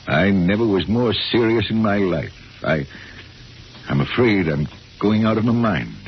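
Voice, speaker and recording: A brooding american professor, deepish voice that swings around, wordy and earnest, muffled 1950 radio drama. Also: male voice, animated, measured pace. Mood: anxious, confessional. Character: troubled, brooding male professor with a frightening mental power. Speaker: radio actor. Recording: muffled vintage 1950 radio, faint noise.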